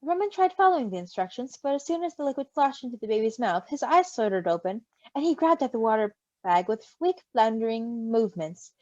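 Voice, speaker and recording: A woman reading a story in an animated way, with giggly, casual asides. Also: on the high side, brisk, few pauses, no echo. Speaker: story reader. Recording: slightly dull, close dry recording.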